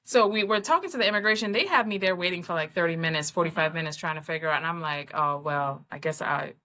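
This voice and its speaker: A woman's voice: on the low side, fast talker. An American woman co-hosting a travel channel, chatting casually and acting out the people in her stories. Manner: animated storytelling, acts out what people said. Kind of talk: casual chat.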